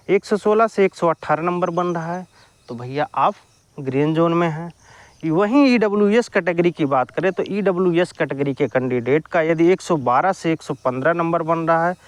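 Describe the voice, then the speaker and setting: A man's voice: fairly high pitch, dry recording. A man giving an informal explainer to viewers, repeating his phrases for emphasis. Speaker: exam-news YouTuber.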